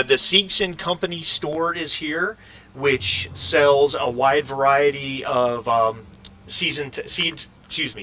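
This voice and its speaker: A man running a tabletop roleplaying game as game master, explaining the setting in a halting, casual, conversational way over an online group call. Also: medium pitch, sharp tone. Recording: noisy, distant microphone.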